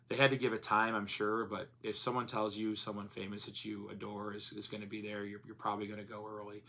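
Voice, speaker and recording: A man with a hoarse voice chatting casually in a vlog, rambling with plenty of fillers. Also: lowish voice, bright, forceful tone. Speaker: comics vlogger.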